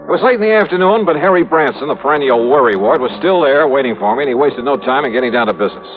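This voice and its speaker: An actor playing a brash, cynical insurance investigator in a 1950s radio drama, clipped, slangy and impatient. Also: male voice, mid-range voice, expressive, racing, faint music behind, natural voice. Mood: brisk narration. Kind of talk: 1950s radio detective acting. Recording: muffled vintage 1950s radio recording.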